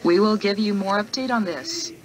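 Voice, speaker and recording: A woman playing a TV news anchor, reading a scripted bulletin in a flat, measured way. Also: mid-range voice, close to an everyday voice.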